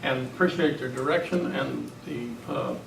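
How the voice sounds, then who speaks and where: A man's voice: medium pitch, normal speed, vocal fry. A man giving an informal presentation speech to fellow club members. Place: club meeting room. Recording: echoey distant room, loud noise.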